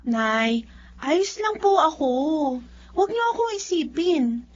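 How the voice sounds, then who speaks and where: An actress playing a selfless, resilient twenty-year-old Filipina daughter in a TV drama, gently firm and stoic. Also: female voice, high voice, sing-song, unhurried. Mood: reassuring. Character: selfless, resilient young working daughter who gave up studies. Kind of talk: Filipino television drama acting. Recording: dull audio under music.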